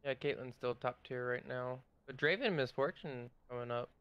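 A man's voice: medium pitch, moderate pace, fairly bright tone, smooth, no room sound. A man talking casually with friends about game strategy. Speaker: gamer in team draft. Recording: dull lo-fi voice chat.

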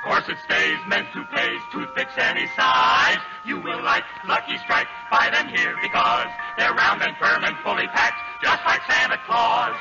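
Men singing as chirpy elevator operators in a 1940s radio jingle style. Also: male voice, very high voice, lively intonation, unhurried, vocal fry, few pauses, over loud music, exaggerated voice. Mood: cheerful. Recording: muffled 1940s radio recording.

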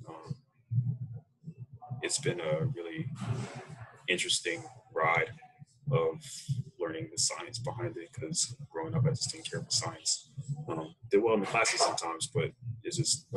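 An American man speaking casually and reflectively as an interview guest on a video call, thinking his way through halting phrases. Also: deep voice, creaky, noisy background. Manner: halting, thoughtful, unhurried phrasing. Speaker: interview guest, coffee roaster. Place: small room indoors, video call. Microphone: computer mic on a video call.

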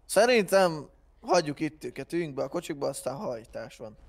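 A man's voice: fairly high pitch, very animated. A young man, talking casually with fellow players in an online roleplay game. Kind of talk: casual gaming chat.

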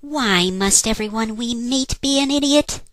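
A woman's voice: a little high, a little slow, dry recording, clean studio sound. A woman voicing a snippy little fairy sidekick with exasperated sarcasm in a parody dub. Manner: scolding, deadpan sarcasm. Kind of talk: fan parody cartoon dub.

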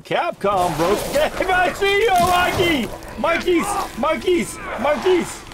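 A man giving casual, chatty gameplay commentary, thinking aloud and getting excitable. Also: very high voice, slow, noisy background. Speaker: gamer recording a play-through.

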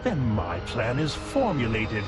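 An actor playing a cold, scheming, commanding villainous robot in a video game cutscene. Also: male voice, mid-range voice, wildly swooping pitch, a little slow. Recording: very loud music and effects behind.

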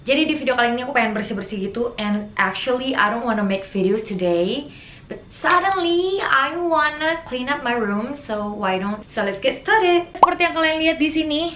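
A woman's voice: high voice, animated, normal speed, a bit bright, a little hiss or hum. A young adult woman, chatting casually like a vlogger and mixing in bits of English. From Singkawang, Indonesia. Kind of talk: casual vlog chat mixing Indonesian and some English. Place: apartment bedroom, indoors. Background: background music.

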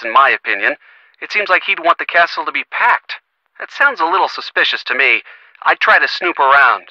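An actor playing an earnest, gently sardonic young American boyfriend in a video game, talking conversationally and expressively with a youthful voice. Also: male voice, on the high side, no echo, dull audio, exaggerated voice. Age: young adult. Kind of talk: video game phone-call dialogue.

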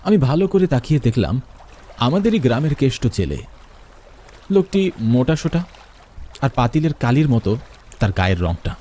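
A man narrates a scary tale in a mellow, medium-pitched voice. His delivery is dramatic and suspenseful, with noticeable watery noise behind him. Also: very animated, moderate pace, long pauses. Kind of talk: first-person scripted horror story narration.

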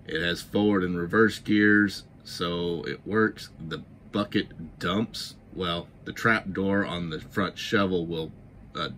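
Enthusiastic middle-aged man, lower voice. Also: fairly bright tone, slight background noise. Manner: enthusiastic, emphatic repetitions. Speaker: toy model reviewer and channel host. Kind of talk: scripted history narration then casual product review.

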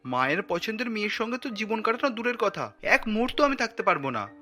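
A man's voice: high voice, big pitch swings, quick pace, bright, forceful tone, soft background music, heavily acted. A man voicing an earnest, principled grown-up son in a cartoon, speaking reproachfully. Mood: complaining. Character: principled adult son, earnest, protests his mother's snobbery.

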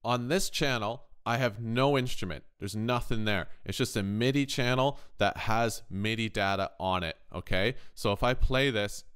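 A man's voice: on the low side, normal speed, few pauses, fairly bright tone, smooth. A man hosting an informal music production tutorial, conversational and thinking aloud as he explains, often checking in with the listener. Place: indoors, voice-over on a screen recording.

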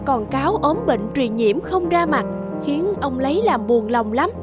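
High-pitched woman narrating a folk tale. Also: expressive, unhurried, over loud music. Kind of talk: scripted storybook narration.

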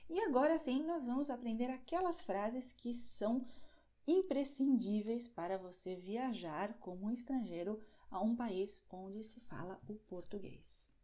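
A young woman talks into her webcam in a small room at home and teaches simple travel phrases. She goes slowly and spells out each example, and her mellow voice moves through a wide pitch range. She keeps checking in with a quick "Ok?". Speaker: language teacher. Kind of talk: slow, clear teaching with examples.

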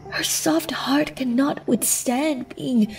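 A woman's voice: sits high, slow, vocal fry, a little mellow, full character voice. A woman playing a grieving, remorseful pony princess of the night in a fan audio drama, speaking formally and often near a whisper. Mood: solemn regret. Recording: distant, music underneath.

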